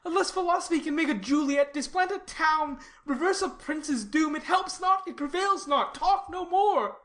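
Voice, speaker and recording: Shakespeare audio drama: lovesick male youth voiced by a woman, high-pitched, distraught, theatrically anguished. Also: expressive, moderate pace, a bit bright. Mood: anguished, bitter. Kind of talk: classical stage tragedy in audio.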